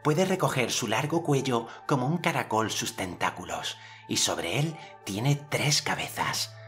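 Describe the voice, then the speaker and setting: A man's voice: mid-range voice, expressive, unhurried, fairly bright tone, soft background music, pitched above the performer's own voice. An adult man voicing a young boy hero in an audiobook, speaking in a solemn, formal old-fashioned way. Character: earnest boy hero, commanding storyteller.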